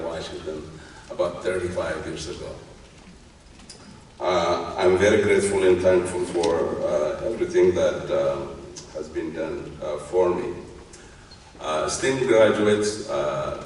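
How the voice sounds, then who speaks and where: Deep-voiced Ethiopian man in a reverberant hall. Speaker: graduation speaker, tech executive. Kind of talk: commencement speech. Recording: very echoey hall, distant mic, faint noise.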